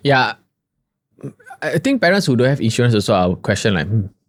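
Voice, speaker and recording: A young man with a Singaporean English accent, co-hosting a casual podcast discussion, getting animated when he makes a point. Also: brisk. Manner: animated, rising pitch when making a point. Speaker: podcast co-host.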